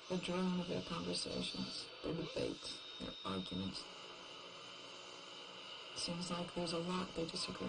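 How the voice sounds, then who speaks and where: A woman playing a haunted, bitter lone survivor in a horror audio drama, brooding and halting in her delivery. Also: fairly low pitch, gravelly, noisy background, heavily acted. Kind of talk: first-person horror audio drama monologue.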